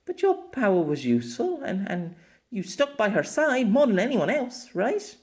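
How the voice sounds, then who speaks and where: A young woman adventurer voiced by a male narrator in a storybook tale, pitched high and lilting. She is shy and hesitant, yet has a teasing, devoted streak. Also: sing-song, moderate pace. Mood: hesitant concern.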